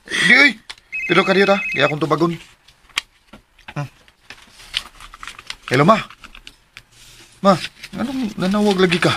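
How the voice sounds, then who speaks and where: An actor playing a casual, coaxing young suitor in a radio drama, with a Filipino accent. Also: male voice, sits high, lively intonation, a little slow, long pauses, background noise. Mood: slightly irritated. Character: smooth-talking young male suitor, casual and eager.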